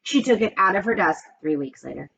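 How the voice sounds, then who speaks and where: A woman's voice: medium pitch, lively intonation, fairly quick, gravelly, fairly bright tone, breathy edge, highs cut off. A woman reading a children's storybook aloud, expressively and with asides, speaking straight to young listeners.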